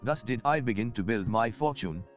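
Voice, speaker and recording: An actor playing a self-assured, wealthy merchant in a video game, speaking formally and eloquently in old-fashioned phrasing. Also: male voice, medium pitch, lively intonation, normal speed, close to an everyday voice. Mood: solemn, reflective. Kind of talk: scripted video game dialogue. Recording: muffled game audio with background music.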